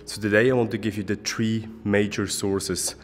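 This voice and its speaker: A man's voice: lowish voice, little pitch movement, moderate pace, slight background noise. A young man, a German-speaking fitness coach from Switzerland, earnestly explaining gym tips to a handheld camera at arm's length in a large indoor gym. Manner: earnest, explanatory, adds okay often. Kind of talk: casual vlog advice. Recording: echoey gym hall with music.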